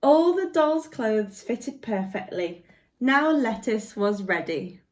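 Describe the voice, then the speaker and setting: Woman, children's story reader, expressive, slow pace, swooping lively pitch, fairly high voice. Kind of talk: children's picture book read aloud.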